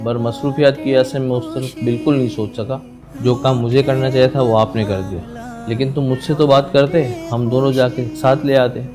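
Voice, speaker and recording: A man's voice: medium pitch, steady tone, normal speed, music in the background, natural voice. A man playing an authoritative but caring father and employer in a moral drama, with faint ambient noise. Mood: regretful. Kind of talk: acted dialogue in a moral drama.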